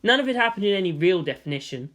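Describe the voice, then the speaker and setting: Animated, theatrical fiction narrator. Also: medium pitch, very fast. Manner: expressive, theatrical. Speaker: audiobook-style narrator of fan fiction. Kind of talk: dramatic scripted story narration.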